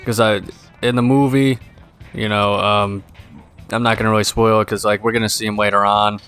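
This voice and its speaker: Tired, monotone man rambling. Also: on the low side, normal speed, clean tone. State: exhausted. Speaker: gameplay commentator. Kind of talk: casual let's play commentary. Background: game music.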